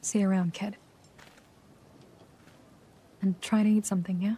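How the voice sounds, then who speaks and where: A woman's voice: medium pitch, little pitch movement, normal speed, warm tone, breathy edge. An actress playing a down-to-earth, caring mercenary woman in a video game, casual and matter-of-fact. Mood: kindly, matter-of-fact. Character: down-to-earth mercenary woman, gently looking after newcomer. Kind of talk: video game cutscene acting. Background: faint ambience.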